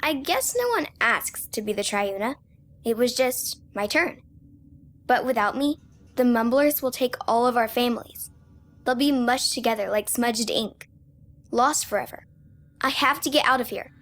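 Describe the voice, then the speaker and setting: A young girl in a video game drama. Her high voice is childlike and earnest, that of a frightened child carrying a heavy duty. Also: lively intonation, normal speed, fairly bright tone. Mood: anxious, determined.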